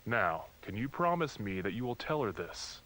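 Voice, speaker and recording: An actor playing a fatherly, patronizing puppet priest who gently preaches to children with a faintly sinister edge. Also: fairly low pitch, sing-song, moderate pace, rough recording. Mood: preachy. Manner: patronizing, instructive. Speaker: pastor to children in a puppet show. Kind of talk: children's religious puppet show parody.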